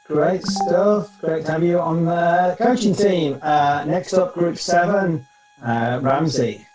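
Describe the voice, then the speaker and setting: A man hosting an online event, introducing each coach in turn with brisk, upbeat remarks. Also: fairly high pitch, roomy sound, a crowd in the background, low-quality recording.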